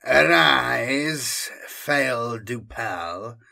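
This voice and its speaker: A man's voice: mid-range voice, expressive, extremely slow, creaky, bright, forceful tone, full character voice. A man voicing a hulking demonic warlord in an audiobook, grave, menacing and commanding in manner.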